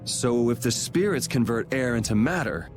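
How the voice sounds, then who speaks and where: A man's voice: medium pitch, lively intonation, moderate pace, music in the background, natural voice. A man voicing a cool, casual, teasing young swordsman hero in a video game. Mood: level.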